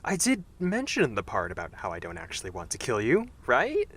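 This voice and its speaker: A man voicing a cocky, charming young dragon assassin in a teasing, flippant way for an animated fan dub. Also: medium pitch, brisk. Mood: flippant, coaxing.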